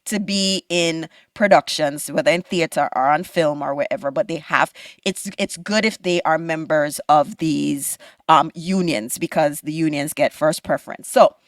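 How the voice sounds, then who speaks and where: A woman's voice: deep voice, normal speed, no echo, clean studio sound. A woman hosting a podcast, talking in a chatty, conversational way with frequent restarts and touches of Caribbean patois. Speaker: podcast host. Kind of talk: interview, casual chat.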